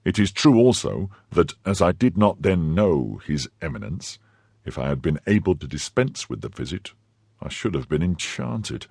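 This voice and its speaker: A man voicing a pompous, cowardly middle-aged shopkeeper in his own low, husky voice. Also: very animated, moderate pace, a little mellow, no room sound, clean studio sound, full character voice. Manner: self-important, blustering. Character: pompous fifty-year-old mercer, cowardly and greedy.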